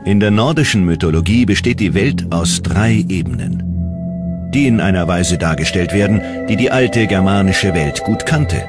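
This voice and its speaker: A man narrating a scripted documentary in a measured, dramatic storytelling manner. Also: sits low, animated. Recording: loud music under the voice. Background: loud background music.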